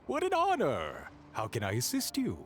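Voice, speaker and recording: Fawning male desk clerk in a game, smooth, obsequious, wildly swinging pitch. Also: wildly swooping pitch, moderate pace, soft background music. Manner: fawning and smooth, flustered when pressed.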